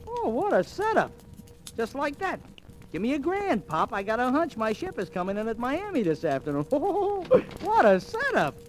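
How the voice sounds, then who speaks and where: An actor playing a brash, wisecracking bookie in slangy, needling 1940s radio drama style. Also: male voice, extremely high pitch, huge pitch swings, moderate pace, exaggerated voice. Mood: gleeful, cocky. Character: brash, wisecracking male bookie, fast-talking and cocky. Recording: old radio recording with music.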